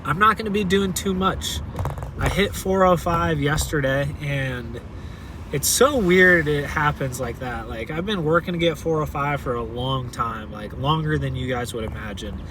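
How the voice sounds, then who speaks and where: Man, twenties, fitness vlogger, rambling casual talk, fairly high voice, clear outdoor traffic noise. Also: moderate pace. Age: 20s. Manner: rambling, filler-heavy, with many "like"s and restarts. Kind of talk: casual vlog talk to camera. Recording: noisy outdoor ambience with cars.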